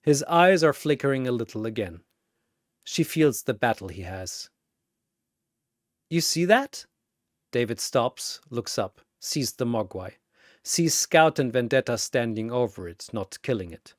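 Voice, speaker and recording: A man narrating a dramatic battle story in a theatrical, measured way, with pauses between short phrases. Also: mid-range voice, animated, no room sound, clean studio sound.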